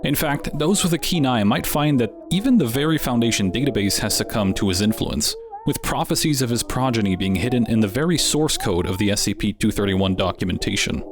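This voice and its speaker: A man's voice: mid-range voice, animated, fairly quick, hardly any pauses. A man hosting and narrating a video in a wry, conversational way. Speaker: host. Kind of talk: scripted narration. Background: music.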